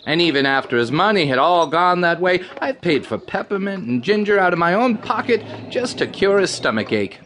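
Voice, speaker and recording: An actor playing a wheedling, folksy circus candy seller in an audio drama, with a self-justifying, rustic way of talking. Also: male voice, high voice, very animated, moderate pace, hardly any pauses, fairly bright tone, slight background noise. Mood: self-pitying, boastful.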